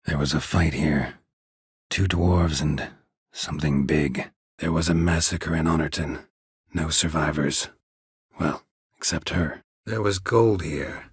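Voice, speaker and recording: Casting: stoic male monster hunter for fantasy game; bottom-of-range gravelly husk, gruff, terse, deadpan. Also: slow pace, no room sound, clean studio sound, exaggerated voice. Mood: flat, observant. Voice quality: gravelly, husky low voice. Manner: deadpan, clipped, laconic. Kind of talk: fantasy video game voice acting.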